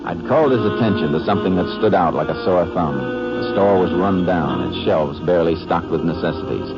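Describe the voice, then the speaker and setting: A man acting a calm, decisive Texas Ranger with an American accent and a terse, authoritative manner in an old radio drama. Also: deep voice, a little fast. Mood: measured narration. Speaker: lead actor and narrator. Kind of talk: 1950s radio acting and narration. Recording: dull old radio recording with loud music.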